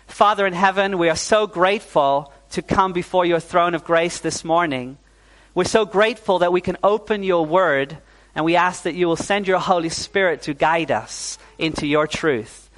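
A man's voice: high voice, normal speed, poor audio. A young man from New Zealand preaching a sermon as pastor to a congregation, often saying "uh" and calling for "amen". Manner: warm, fillers like "uh", calls for "amen".

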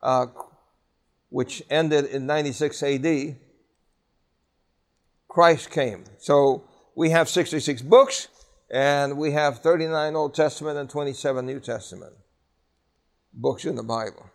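New man teaching a Bible class; slightly high, emphatic, quizzes the class. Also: fairly even intonation, a little slow, pauses often. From New York City. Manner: conversational, asks the class questions, emphatic repetition.

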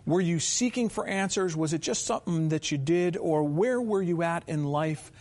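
A man hosting an interview show, asking questions in a warm, affirming way. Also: a little high, normal speed. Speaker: host. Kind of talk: interview conversation.

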